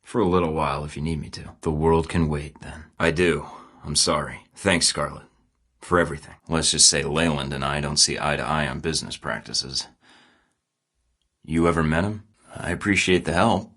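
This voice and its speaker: A man's voice: extremely low pitch, normal speed, fairly bright tone, exaggerated voice. An actor playing a terse, guarded male secret agent in a video game, with dry, clipped, sardonic delivery.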